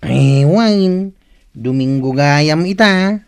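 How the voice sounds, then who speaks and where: Village neighbour, easygoing, fairly high male voice, comedy radio drama. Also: expressive, drawn-out words, clean tone, no room sound, full character voice. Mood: casual.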